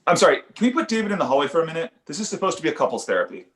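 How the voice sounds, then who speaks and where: An easygoing, placating father in his thirties acted by a man in a cartoon script read. His voice is slightly high and very animated, heard in a reverberant room. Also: racing. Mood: mild protest. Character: easygoing indulgent 35-year-old dad, aspiring comedian. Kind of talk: live table read of an animated comedy script. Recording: echoey room sound.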